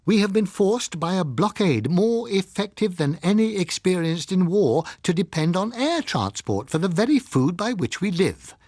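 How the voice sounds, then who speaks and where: A man's voice: high-pitched, very animated, normal speed, few pauses, a little mellow, no room sound, clean studio sound, voice pushed up. A man reading an audiobook, playing an emphatic, rhetorical male scientist giving a sombre broadcast commentary. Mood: grave, indignant. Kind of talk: dramatised audiobook character speech.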